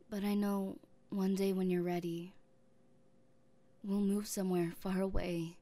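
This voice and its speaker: A lovesick young woman who holds the one she loves captive, tender and possessive all at once, as a woman acts her out in an audio roleplay. She talks very slowly in a mellow, softened tone, halting between phrases and often sinking into a hushed whisper. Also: mid-range voice, close to an everyday voice. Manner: soft-spoken, halting, drifting into whispers.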